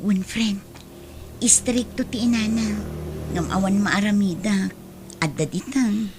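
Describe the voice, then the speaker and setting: A woman's voice: mid-range voice, unhurried, noisy background, close to an everyday voice. A woman playing an anxious, meek young daughter in an audio drama, speaking plaintively. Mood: subdued.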